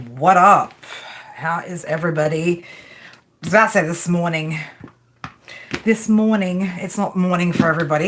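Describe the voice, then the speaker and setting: A woman's voice: lowish voice, fairly bright tone. Chatty Australian woman reading tarot. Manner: chatty, playful, slangy, reads chat comments aloud. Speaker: tarot reader. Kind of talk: casual live-stream chat and reading.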